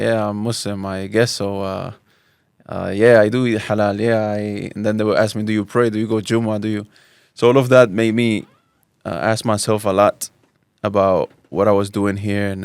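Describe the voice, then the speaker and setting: A man's voice: lowish voice, gravelly, a little mellow, clear, resonant tone, no echo, clean studio sound. A man in his thirties from Spain, a podcast guest telling his life story in a thoughtful way, often restarting and mixing in Arabic phrases. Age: 30s. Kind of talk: relaxed personal storytelling.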